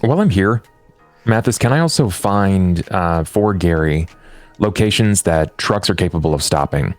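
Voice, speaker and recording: A man playing a dry-witted, courteous vampire fixer in a tabletop roleplay, deliberate and deadpan. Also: deep voice, soft background music. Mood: businesslike. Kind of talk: tabletop roleplay actual play.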